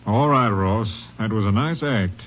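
An actor playing a skeptical, level-headed Texas Ranger captain with a measured, probing manner in an old-time radio drama. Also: male voice, on the low side, fairly bright tone. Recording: muffled, noisy vintage radio recording.

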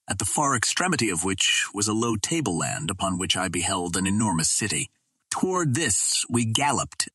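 A man reading an adventure novel aloud as an audiobook narrator, in a measured, formal storytelling manner. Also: on the low side, sharp tone, breathy edge, no room sound.

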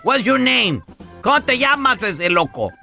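A man's voice: very high voice, very animated, a little slow, gravelly, bright, forceful tone, soft background music, full character voice. A man voicing a giggly, stoned older prank caller who keeps laughing and tossing in English phrases on a radio show.

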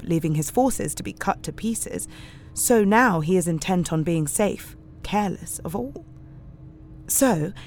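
A woman's voice: mid-range voice, a little hiss or hum, natural voice. An actress playing a thoughtful, earnest young woman who reasons things out in an audio drama. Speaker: heroine of a gothic tale.

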